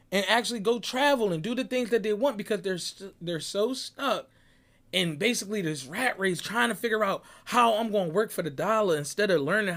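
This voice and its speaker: A man's voice: sits high, fairly bright tone. A young man from Barbados giving an emphatic, preachy motivational talk, constantly checking in with "right?" and "bruh". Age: young adult. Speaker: motivational speaker. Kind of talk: motivational monologue. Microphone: audio clip recording.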